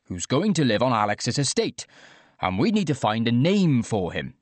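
A male reader acts out a blithe young male influencer in an audiobook, chatting to online followers. The delivery is animated and earnest, at a middling pitch, in a dry close recording. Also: normal speed, no room sound. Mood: chirpy, earnest. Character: oblivious, upbeat young male influencer livestreaming to fans. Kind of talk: audiobook character dialogue.

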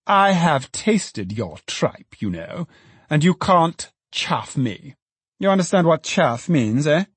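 A man plays a smug, jovial alderman who talks down to working folk, bouncing his voice up and down. The tone is a little husky, close and dry. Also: a little high, big pitch swings, slow pace, no room sound, voice pushed up, full character voice. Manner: jovial, patronizing, chuckling. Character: hearty, condescending middle-aged male alderman.

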